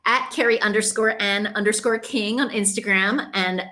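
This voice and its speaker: Bright, upbeat woman hosting a podcast. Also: mid-range voice, steady tone, moderate pace. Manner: upbeat, encouraging. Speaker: podcast host and author. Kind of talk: casual podcast interview chat.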